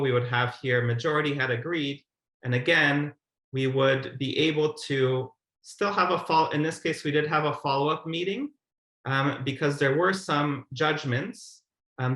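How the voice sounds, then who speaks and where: A man's voice: medium pitch, moderate pace, fairly bright tone. A man in his thirties giving an explanatory presentation as a webinar speaker on a video call. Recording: roomy, echoey video call.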